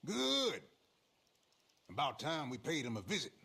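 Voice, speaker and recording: An actor playing a shady, folksy frontier fixer in a video game, with an American accent and a knowing drawl. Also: male voice, medium pitch, huge pitch swings, a little slow, vocal fry, sharp tone, husky, exaggerated voice. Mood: scheming, eager. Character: shady male frontier fixer, sly, folksy outlaw schemer. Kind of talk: video game cutscene acting.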